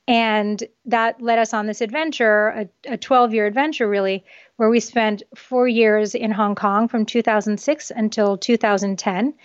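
A woman in her forties from the US East Coast, Japanese, recounts things in a chatty, matter-of-fact way. Her voice is on the high side. It comes through a dull remote connection with no room sound. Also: fairly even intonation, normal speed, smooth, dry recording. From United States, East Coast. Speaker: guest author. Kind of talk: casual interview.

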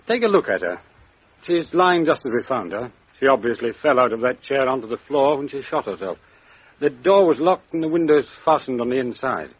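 An actor playing a measured, explanatory police doctor in a 1950s radio drama. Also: male voice, medium pitch, lively intonation, slightly rough, no echo. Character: genial middle-aged police doctor. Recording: dry, dull lo-fi old radio recording.

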